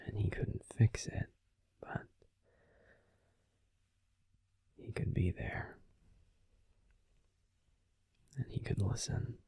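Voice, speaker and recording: A man playing a grumpy but tender werewolf boyfriend in an intimate, slow, soothing whispered sleep-aid roleplay. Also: fairly low pitch, warm tone, no echo, exaggerated voice. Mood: quiet, reflective. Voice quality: breathy, husky whisper.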